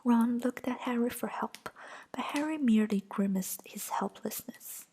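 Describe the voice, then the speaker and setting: A woman narrating a story aloud in a soft, unvoiced whisper. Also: a little high, moderate pace, slightly rough, poor audio. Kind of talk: whispered audiobook reading.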